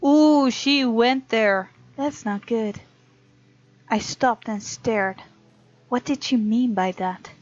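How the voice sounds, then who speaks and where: A woman's voice: fairly high pitch, slow, highs cut off. A woman hosting a let's play, reading game text aloud and reacting casually and expressively. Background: faint game music.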